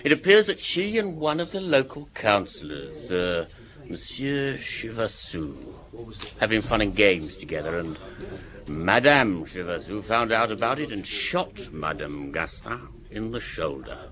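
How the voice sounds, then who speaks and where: An actor playing a gossipy, sardonic village innkeeper in a radio drama, with a dry, knowing, teasing manner. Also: male voice, on the low side, sing-song, a little slow, sharp tone, full character voice.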